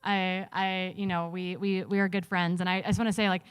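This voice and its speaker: A woman's voice: lowish voice, little pitch movement, fairly quick, clean tone, no room sound. A woman hosting a live, casual stage interview in a rambling, chummy, joking manner. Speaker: host interviewing runners on stage.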